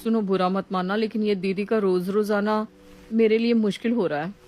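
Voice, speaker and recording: A woman acts out a put-upon young sister-in-law in a moral story. She is polite yet aggrieved, complaining with restraint at a middling pitch, with music playing behind her. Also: fairly even intonation, moderate pace, darkish tone, lightly acted.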